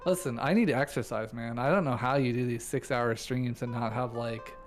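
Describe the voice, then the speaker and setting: A man's voice: medium pitch, brisk, soft background music. A man who streams, chatting casually and joking around with a friend, swearing freely, from his streaming room at home. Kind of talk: casual live-stream chat.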